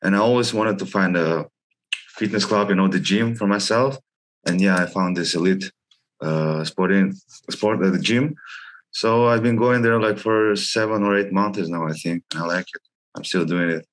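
Deep-voiced young man from Russia and China. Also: normal speed. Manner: frequent "like", informal non-native phrasing. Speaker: guest, gym member. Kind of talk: casual interview chat. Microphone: headset on video call.

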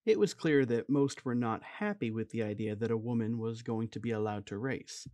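Dry, measured male documentary narrator. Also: mid-range voice, darkish tone, dry recording.

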